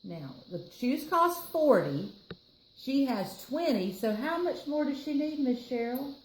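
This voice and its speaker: A woman's voice: sits high, sing-song, unhurried. An American woman reading a picture book aloud to children, adding chatty explanatory asides as she goes. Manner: explanatory, chatty, addressing listeners and co-reader. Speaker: library storytime reader. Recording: roomy echo, faint background noise.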